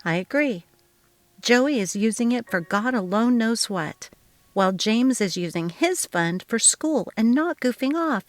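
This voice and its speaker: A woman's voice: fairly high pitch, expressive, a little slow, no echo. A woman giving a dramatic reading of a family story told in text-message dialogue. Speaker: story narrator.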